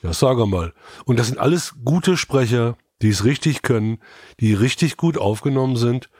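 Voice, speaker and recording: A man's voice: mid-range voice, lively intonation, moderate pace, a little mellow, breathy edge, no echo, studio quality. A man co-hosting a podcast review, talking in a colloquial, emphatic way. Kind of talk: casual review chat.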